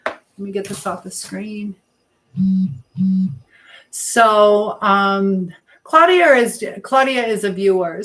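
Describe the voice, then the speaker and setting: A woman's voice: mid-range voice, a bit bright, rough recording. A middle-aged woman hosting a casual live stream, rambling between viewer comments and the baking she is doing as she talks. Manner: rambling, hopping between chat and task; chatting while baking.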